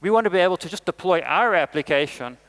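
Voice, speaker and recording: A man's voice: sits high, expressive, fast, a bit bright. A middle-aged man from South Africa with a South African accent, giving a conference talk with emphatic rises on key points and frequent "uh" fillers. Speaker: conference speaker.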